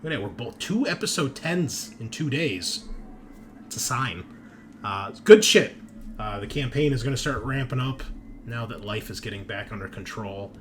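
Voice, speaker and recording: A man's voice: mid-range voice, expressive, moderate pace, background noise. A man hosting a tabletop roleplaying show with casual, chatty, informal intro banter and some swearing. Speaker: host and game master of a tabletop show. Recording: music playing behind the voice. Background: music.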